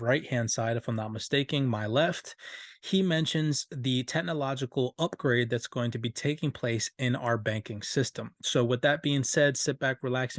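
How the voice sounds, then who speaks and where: A man's voice: mid-range voice, dry recording. A male host breaks down a finance clip for his viewers, leaning on stock phrases and stressing key words. His voice sits in an ordinary middle range. The sound is dry and close, with no room around it. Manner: emphatic, repeats stock phrases. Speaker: crypto channel host. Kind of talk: video breakdown commentary.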